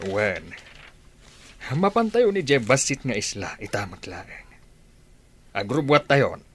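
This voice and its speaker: Deliberate commanding chief. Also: male voice, medium pitch, big pitch swings, husky, heavily acted. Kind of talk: Ilocano radio drama acting.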